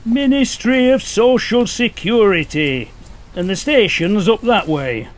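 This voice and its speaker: An actor playing a mild, matter-of-fact husband and football fan in a radio play. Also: male voice, high-pitched, lively intonation, unhurried. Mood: placid.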